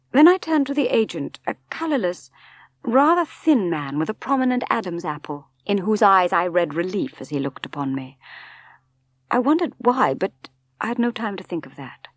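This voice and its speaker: A woman's voice: lowish voice, big pitch swings, normal speed, no echo. A woman with an English accent reading a novel aloud as dramatic literary narration. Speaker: audiobook narrator.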